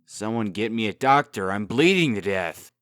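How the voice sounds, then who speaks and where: A man's voice: on the low side, animated, moderate pace, a bit bright, dry recording, clean studio sound. A man playing a cowardly, glib young rascal in a comic dub, pleading dramatically. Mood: whiny.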